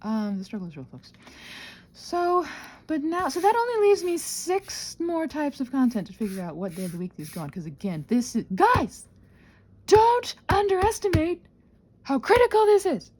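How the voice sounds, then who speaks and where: Female, soft mellow tone with a slightly husky edge, highly varied intonation, playful rambling chat, self-deprecating. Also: moderate pace. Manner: expressive, acting out her own thoughts. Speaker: ASMR and audio content creator.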